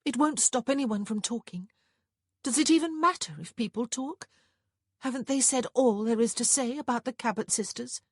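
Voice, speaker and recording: A woman's voice: on the high side, normal speed, breathy edge, no room sound, clean studio sound, voice pushed up. A woman voicing a spirited, defiant upper-class young woman in an audiobook, with a pointed, dramatic manner. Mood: irritable.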